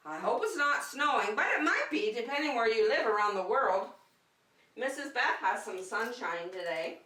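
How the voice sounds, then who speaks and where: A woman with a bright, forceful voice leads a preschool lesson in short, simple, encouraging phrases. Her pitch swings in a lively way and she takes her time. She is recorded at some distance in an echoey room. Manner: simple, encouraging instruction addressed to young children. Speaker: preschool teacher hosting circle time. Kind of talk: children's lesson with counting and simple songs.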